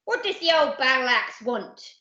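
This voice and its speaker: A woman voicing a gruff, self-important male pirate captain in a storybook, roaring and grumbling in a West Country pirate accent. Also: high-pitched, expressive, unhurried, sharp tone, pitched above the performer's own voice, heavily acted. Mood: irritated. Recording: echoey room, slightly dull sound.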